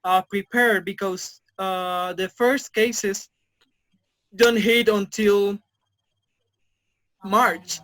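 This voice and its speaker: A man in his twenties from Mexico, a native Spanish speaker with a Mexican Spanish accent, answering interview questions haltingly with many fillers. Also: high voice, steady tone, fairly bright tone, low-quality recording. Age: 20s. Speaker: interview guest. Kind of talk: casual video interview.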